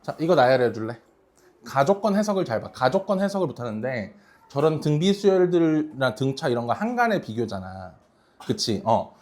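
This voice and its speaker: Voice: medium pitch, darkish tone. Informal math teacher questioning a class. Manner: casual, informal, checks understanding often. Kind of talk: classroom lesson working through sequence problems with frequent questions to students. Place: classroom with students.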